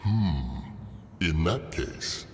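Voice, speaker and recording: An actor playing a stately, dry-witted immortal sage in a video game, speaking formally. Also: male voice, deep voice, expressive, drawn-out words, darkish tone, a little hiss or hum, heavily acted. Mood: dry, teasing. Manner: formal, dryly teasing. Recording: long hall reverb. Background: music.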